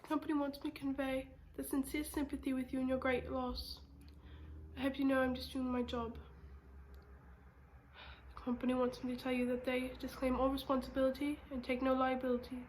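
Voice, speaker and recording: A woman's voice: high-pitched, flat delivery, a little fast, darkish tone, slight background noise, natural voice. A young woman in an amateur stage play acting a formal, apologetic company messenger with a stiff manner. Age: young adult. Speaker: student actor.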